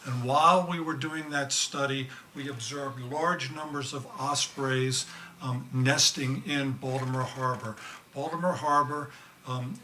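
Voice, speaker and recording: A man's voice: mid-range voice, sharp tone, slight background noise, rough recording. An older man giving a conference talk as a government scientist, with dry, self-deprecating humor.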